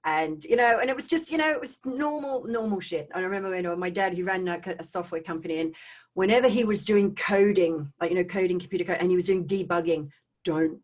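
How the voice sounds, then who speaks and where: Lower-voiced Australian woman telling her story. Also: quick pace. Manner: conversational, animated asides, checks in with listeners. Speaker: speaker hosting a live online talk on trauma recovery. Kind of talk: personal storytelling talk to online viewers.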